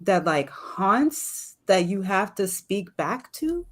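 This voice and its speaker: A woman's voice: medium pitch, very animated, slow pace. A woman answering as a guest in a casual conversation, reflective and searching as she tentatively works out her thoughts. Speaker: poet and professor, guest. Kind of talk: casual, unscripted interview answer.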